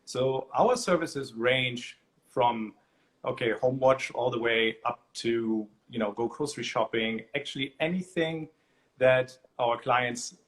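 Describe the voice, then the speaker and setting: A man, a guest business owner, speaking in a relaxed, plain way during a casual interview. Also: mid-range voice, measured pace.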